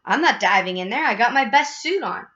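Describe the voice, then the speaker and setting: A woman's voice: on the high side, animated, brisk, fairly bright tone. A woman voicing a stodgy, unhelpful middle-aged father, blunt and grumbling, on a dull recording. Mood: annoyed.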